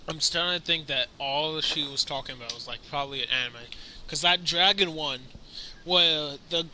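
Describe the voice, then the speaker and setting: A man's voice: on the high side, bright, forceful tone, a little hiss or hum. A young man giving casual gameplay commentary, talking in a slangy, rambling, offhand way. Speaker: gaming streamer. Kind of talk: casual let's play commentary. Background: game music.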